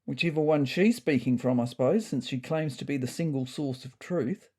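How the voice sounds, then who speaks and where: A woman's voice: rumbling low, moderate pace, a little mellow. A woman voicing a male cat friend in a comic story, explaining news in a matter-of-fact way. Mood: explanatory.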